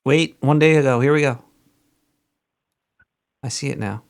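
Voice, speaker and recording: A man's voice: medium pitch, a little slow, smooth. A man hosting a casual call-in show, joking and distracted as he searches for things online. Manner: joking, distracted, trash-talking. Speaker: radio show host.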